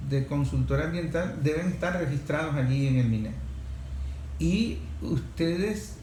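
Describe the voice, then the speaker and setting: A man's voice: a little high, measured pace, dark tone. A man interviewing a guest in a conversational way. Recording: echoey room with faint background noise.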